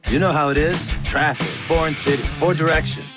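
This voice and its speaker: Game sidekick: armored soldier, slightly high voice, breezy, flippant joker over clear music male actor. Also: fairly even intonation, a little fast, close to an everyday voice. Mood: breezy, joking. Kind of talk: video game voice acting. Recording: music under the voice.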